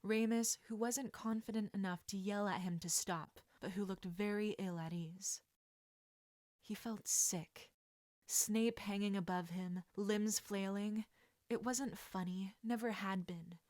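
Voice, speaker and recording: A woman reading a story aloud as an expressive audiobook narrator. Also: medium pitch, unhurried, no echo, studio quality. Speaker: audiobook narrator of fan fiction.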